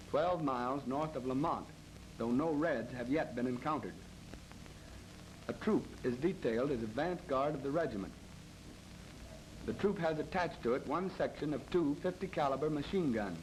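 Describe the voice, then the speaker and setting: 1930s American training film narrator, noisy old soundtrack. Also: male voice, medium pitch, darkish tone. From United States. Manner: formal, measured, matter-of-fact.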